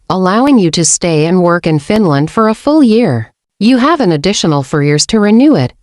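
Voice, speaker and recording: Female host, lowish voice, steady scripted read, dry close mic. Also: no room sound. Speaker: channel host. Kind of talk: scripted informational narration.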